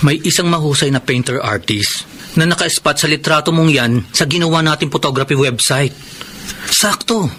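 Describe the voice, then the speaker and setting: Eager high-voiced Filipino friend. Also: male voice, moderate pace, pushed and bright, breathy edge. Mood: earnest, encouraging. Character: Baron, earnest, encouraging young male friend of a prisoner. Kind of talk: radio drama acting. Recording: noisy radio drama broadcast.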